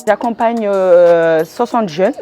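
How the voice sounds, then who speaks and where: A woman's voice: medium pitch, slow, over music. A woman working as a youth counsellor, explaining her work in an interview.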